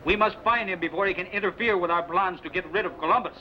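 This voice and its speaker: A man's voice: high-pitched, fast, muffled recording, close to an everyday voice. A man playing a suspicious, scheming Spanish sailor in a theatrical, menacing old-time radio adventure style. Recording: noisy vintage radio recording.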